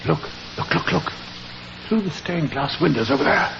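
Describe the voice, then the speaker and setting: An earnest, proper British gentleman in an old radio mystery. His voice sits high and is full of creak, with a flustered, hesitant way of talking. The 1940s recording is muffled and very noisy. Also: animated, normal speed. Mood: anxious.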